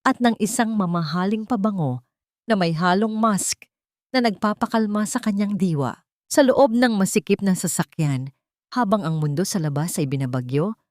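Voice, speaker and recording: A woman narrator with a fairly low voice and a dry, close sound. She tells a dramatic scripted story in a measured, sentimental way. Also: darkish tone, no room sound.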